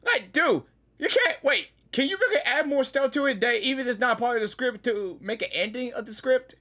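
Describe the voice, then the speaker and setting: A man giving casual gaming reactions in an excitable, repetitive, rambling manner. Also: extremely high pitch, normal speed. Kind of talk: casual live game reaction commentary.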